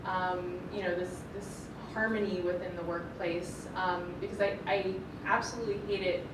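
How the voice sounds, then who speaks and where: A woman's voice: fairly low pitch, fairly even intonation, normal speed. Woman, hesitant, lots of fillers, distant mic, echoey noisy room. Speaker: audience member asking a question. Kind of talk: rambling audience question. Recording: distant, roomy, noisy event audio.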